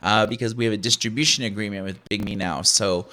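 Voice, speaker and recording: A man with a Canadian accent hosting a casual, unscripted tech show, talking in a slangy, halting, rambling way. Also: lowish voice, steady tone, pushed and bright. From Ontario, Canada. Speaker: podcast host and product reviewer.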